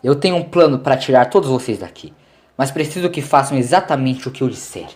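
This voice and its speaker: A man's voice: medium pitch, lively intonation, fast talker, a little mellow, pitched above the performer's own voice, close to an everyday voice. A Brazilian man voicing a confident, resolute time-travelling doctor in an audio story. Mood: assured, emphatic.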